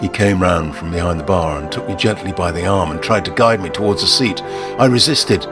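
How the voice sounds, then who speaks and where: A man narrating a scripted first-person short story in a theatrical, intense way with deliberate phrasing. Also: low-pitched. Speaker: narrator and performer. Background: music.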